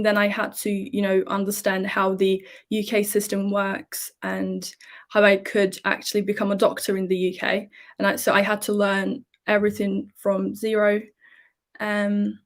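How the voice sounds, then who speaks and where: A woman in her twenties from Turkey, a medical student explaining her path in a casual interview with many fillers. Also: mid-range voice.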